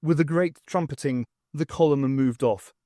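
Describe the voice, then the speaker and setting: A man's voice: a little high, moderate pace, warm tone, dry recording, clean studio sound. A man narrating a fiction audiobook in a scripted storytelling style.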